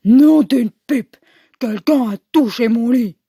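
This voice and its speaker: A woman voicing a big father bear from a children's storybook, with a gruff, growling, scolding voice. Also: fairly high pitch, slow pace, warm tone, dry recording, studio quality, exaggerated voice. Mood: indignant, roaring.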